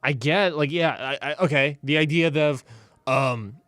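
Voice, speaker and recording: A man bantering casually as a player in a tabletop game, sardonic and sweary, talking in halting bursts. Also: fairly high pitch, fairly bright tone, no echo, clean studio sound.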